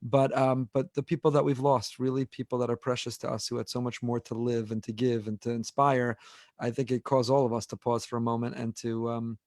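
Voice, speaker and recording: A man in his forties talks quickly and earnestly as a podcast host, hurrying through his thoughts with frequent restarts and repeated words. His voice sits mid-range, recorded close and very dry with no room sound. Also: mid-range voice, level tone, darkish tone, no echo. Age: 40s. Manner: earnest, quick and talkative, repeats words while building a point. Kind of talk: unscripted podcast discussion.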